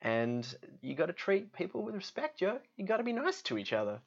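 A man's voice: high voice, wildly swooping pitch, fairly quick, gravelly, husky, lo-fi sound. A man with an Australian accent reading a storybook aloud and chatting casually about it. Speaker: guest storybook reader. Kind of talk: storybook narration with casual asides.

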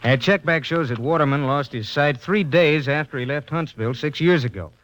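Fast-talking lawman played by a man. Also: a little high, fairly bright tone, close to an everyday voice. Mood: businesslike. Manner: brisk, factual. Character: brisk lawman reporting case findings from notes. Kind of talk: 1950s radio drama acting. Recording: dull lo-fi 1950s radio recording.

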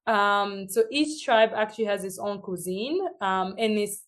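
Lively Tanzanian woman telling stories. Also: mid-range voice, a little slow. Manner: lively storyteller, acts out what others say. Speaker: guest. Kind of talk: casual interview chat.

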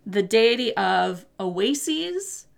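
Gravelly-voiced woman explaining at length. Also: fairly high pitch, big pitch swings, very slow. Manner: explanatory, many fillers and hedges. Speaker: podcast co-host. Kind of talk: casual chat about mythology.

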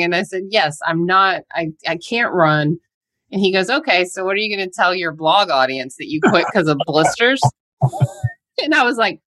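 A woman chatting casually, an animated storyteller who laughs easily and acts out what people said. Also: lowish voice, no room sound. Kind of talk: casual chat, anecdote.

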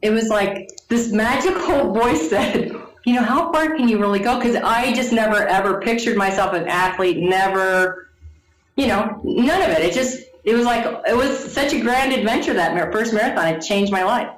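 A woman in her forties telling her story to an interviewer, animated, laughing often and acting out the people she quotes. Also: fairly high pitch, normal speed, slight background noise. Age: 40s. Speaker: guest. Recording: echoey room.

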